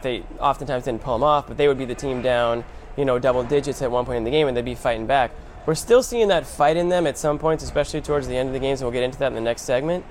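Emphatic male sports host, some background noise. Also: mid-range voice. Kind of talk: conversational basketball game analysis.

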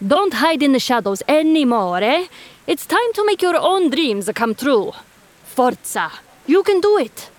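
A woman's voice: high voice, sing-song, measured pace, pitched above the performer's own voice. Woman voicing a jittery penguin shopkeeper. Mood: self-encouraging. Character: anxious, hopeful male penguin ice-cream seller.

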